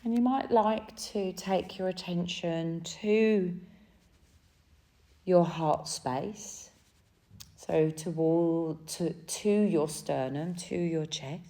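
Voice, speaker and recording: A woman leading a guided relaxation as a yoga nidra teacher, speaking gently and soothingly in short phrases with pauses between them. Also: lowish voice.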